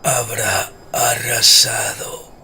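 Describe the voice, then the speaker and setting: An actor playing a dying old necromancer in a fantasy radio drama, menacing, with slow, labored, halting speech and a gravelly, breathy rasp. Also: male voice, mid-range voice, level tone, pushed and bright, faint music behind, full character voice. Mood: dying menace. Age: older. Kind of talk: fantasy audio drama acting.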